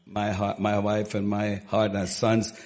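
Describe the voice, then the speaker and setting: A pastor introducing a guest preacher to his church, halting and repeating his words as he goes. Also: on the low side, completely flat delivery, a little mellow.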